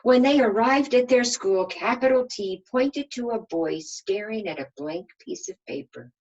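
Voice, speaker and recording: A woman's voice: on the high side, lively intonation, measured pace, a little mellow, muffled recording. A woman reading a children's storybook aloud like a kindergarten teacher, expressively and with questions for her listeners.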